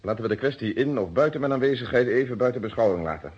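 A man's voice: medium pitch, expressive, quick pace, a little mellow, slightly rough. A man playing a methodical, unyielding police inspector in a Dutch detective radio play, speaking in formal, precise phrases. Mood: pressing. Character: methodical, unyielding police inspector questioning his own friend. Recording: dull lo-fi old radio recording.

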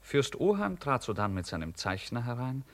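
A man narrating a literary radio play in a measured, formal storytelling manner. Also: medium pitch, very animated, no room sound.

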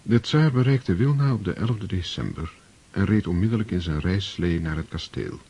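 A man narrating a radio play in a measured, grave storytelling manner. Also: low-pitched, mellow, slightly rough.

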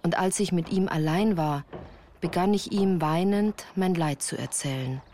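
A woman's voice: fairly low pitch, normal speed, dark tone, a little hiss or hum, close to an everyday voice. An actress as a woman recounting her childhood in composed, literary first-person narration for a radio drama. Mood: sober, reflective. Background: music.

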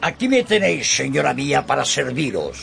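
An actor playing an impassioned, lovesick older knight in a radio drama, grandiloquent and urgent. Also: male voice, mid-range voice, wildly swooping pitch, fairly quick, gravelly, a bit bright, exaggerated voice. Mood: gallant. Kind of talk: Spanish-language radio drama acting.

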